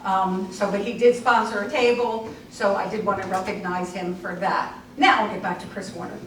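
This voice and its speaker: A woman's voice: medium pitch, fairly even intonation, moderate pace, a bit bright, a little hiss or hum. A woman emceeing a dinner, making casual, chatty introductions. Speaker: event organizer and emcee. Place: church hall at a dinner event. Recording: echoey hall from far off.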